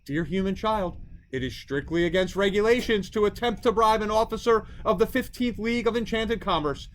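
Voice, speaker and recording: A man's voice: high-pitched, fairly quick, slight background noise, pitched above the performer's own voice, natural voice. A man voicing an officious, prim female tooth fairy bureaucrat in a storybook, formal and stiff. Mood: stern.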